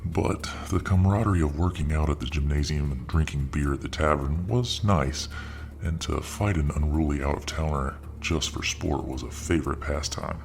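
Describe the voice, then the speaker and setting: A man narrating a story in a slow, soft ASMR style, often close to a whisper, with a very deep voice. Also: gravelly, faint music behind.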